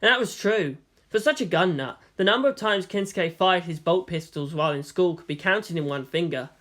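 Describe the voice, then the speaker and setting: A man's voice: sits high, a little fast, sharp tone. A man narrating a story from a script as a fan fiction reader.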